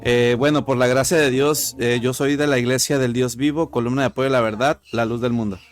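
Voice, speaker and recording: A man with a Mexican Spanish accent calls in to debate. He talks hesitantly, filling pauses with "eh" before quick bursts. His pitch sits in an ordinary middle range. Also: steady tone. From Tijuana, Mexico. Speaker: caller. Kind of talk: call-in debate.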